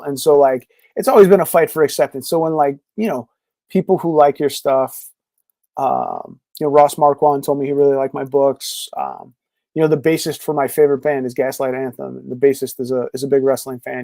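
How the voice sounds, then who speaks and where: Guy rambling as a podcast guest, tons of fillers, warm mellow voice, dry sound. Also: mid-range voice, fairly quick, creaky, dry recording. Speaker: podcast guest, comic creator. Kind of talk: casual interview chat.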